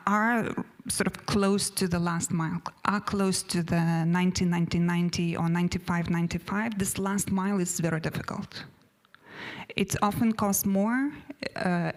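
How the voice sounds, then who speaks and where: A woman with a Lithuanian accent, a non-native English speaker giving a conference panel presentation from notes, with many hesitations and restarts. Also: mid-range voice.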